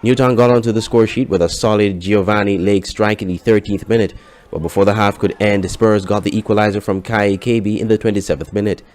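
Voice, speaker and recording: A Caribbean man works as a newsreader, narrating a sports report from a script. His voice sits on the low side for a man. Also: steady tone, a little fast, a little mellow.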